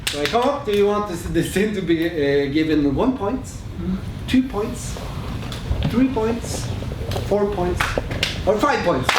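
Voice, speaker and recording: A man's voice: sits high, heavy background noise. Hesitant man hosting onstage. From Norway. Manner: hesitant, many fillers, laughs at himself. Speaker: show host. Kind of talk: live stage introduction to an audience. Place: theatre stage with audience. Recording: echoey hall, miked from far.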